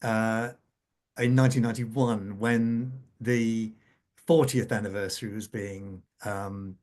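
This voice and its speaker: A man's voice: lowish voice, steady tone, slow pace, a little mellow. A middle-aged or older man, a scriptwriter, telling anecdotes informally on a panel, repeating and restarting his phrases. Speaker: radio drama scriptwriter on a panel. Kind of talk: informal anecdotal conversation at a conference.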